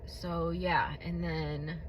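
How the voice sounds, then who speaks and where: A woman hosting her own podcast who tells a personal testimony in a blunt, candid way, with a booming voice and many fillers. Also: little pitch movement, drawn-out words, a little hiss or hum. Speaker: podcast host. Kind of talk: personal testimony, casual monologue.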